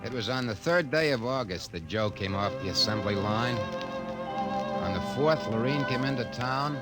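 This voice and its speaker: A man's voice: mid-range voice, animated, a little slow, lightly acted. A man playing a wry, grumbling repairman in 1950s radio drama style, talking in slangy, conversational wisecracks. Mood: wry, confiding. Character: wry, grumbling repairman, ordinary working guy. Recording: old radio broadcast with music behind.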